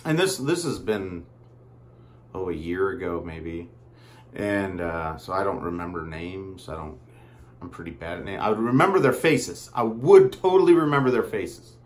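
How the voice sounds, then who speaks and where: A man telling a personal story casually, in a rambling, halting way with frequent asides. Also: deep voice, expressive.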